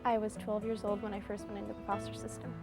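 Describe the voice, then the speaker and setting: A woman's voice: mid-range voice, flat delivery, fast talker. An eighteen-year-old woman giving a candid account of her life in an interview. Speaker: interviewee, foster youth. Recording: music bed under the voice.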